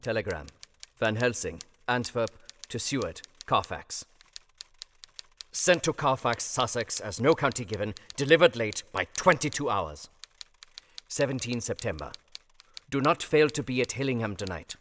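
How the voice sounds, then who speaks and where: A man's voice: medium pitch, lively intonation, normal speed, a bit bright, big-room sound, slight background noise, natural voice. A man reading a telegram aloud in a clipped, formal way, in a radio play.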